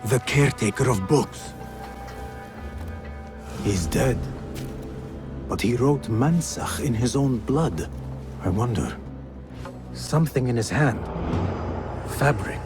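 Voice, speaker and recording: An actor playing a purposeful young assassin, wry and observant, in a video game. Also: male voice, mid-range voice, expressive, slow, pauses often, over music. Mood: pensive, grave.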